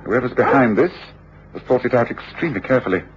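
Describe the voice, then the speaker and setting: An actor playing a shrewd, commanding gentleman detective with an English accent, precise and authoritative, in old radio drama. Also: mid-range voice, lively intonation, quick pace, close to an everyday voice. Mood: brisk, commanding. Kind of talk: 1950s-60s radio drama acting. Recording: dull, noisy old radio drama recording.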